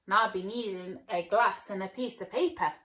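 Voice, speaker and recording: A woman's voice: medium pitch, moderate pace, fairly bright tone, reverberant, close to an everyday voice. A woman voicing a confident, heroic potato superhero in a children's storybook, speaking boldly and staying cool. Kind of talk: children's storybook read-aloud.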